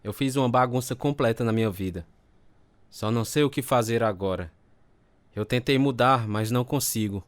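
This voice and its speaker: A man's voice: mid-range voice, moderate pace, no echo, natural voice. An actor playing a troubled recovering alcoholic man, narrating his life story in an earnest, confessional way. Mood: ashamed, earnest. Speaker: narrator and protagonist. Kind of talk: dramatized testimony narration with acted scenes.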